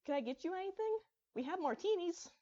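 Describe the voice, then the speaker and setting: A woman's voice: very high voice, expressive, a little fast, mellow, lo-fi sound, voice pushed up. A woman voicing a helpful, organized female pony hostess in a story reading. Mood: cheerful, hosting. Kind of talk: fan fiction story reading.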